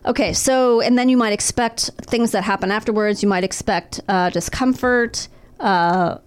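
A woman's voice: medium pitch, moderate pace, clear, resonant tone. Woman co-host, sardonic and profane, reads medical notes aloud while recovering from throat surgery. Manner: wry, profane, deadpan asides while reading. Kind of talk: casual chat, reading medical info aloud.

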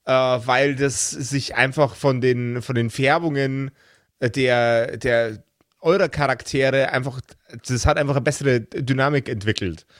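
A man chatting casually on a podcast, often repeating words haltingly as he thinks. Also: medium pitch, dry recording, clean studio sound. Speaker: podcast regular. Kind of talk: casual chat.

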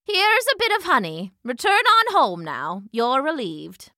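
A woman's voice: high-pitched, very animated, measured pace, bright, forceful tone, dry recording, clean studio sound, pitched above the performer's own voice, full character voice. A woman voicing a patient old male hornet who keeps the peace with authority in a children's story. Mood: kindly.

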